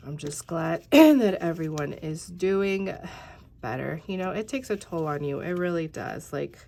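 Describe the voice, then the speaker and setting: Chatty congested woman, low voice. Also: lively intonation, a little slow, creaky, a little mellow, slight background noise. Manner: chatty, rambling, many fillers, addresses viewers as you guys. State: tired. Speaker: craft channel host. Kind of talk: casual craft tutorial talk.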